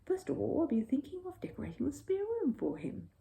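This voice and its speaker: A woman's voice: sits high, wildly swooping pitch, a little fast, gravelly, mellow, a little hiss or hum, voice pushed up, exaggerated voice. A woman reading the part of a thoughtful, slightly flustered middle-aged father in a children's storybook. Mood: explaining. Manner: musing, vague, hesitant.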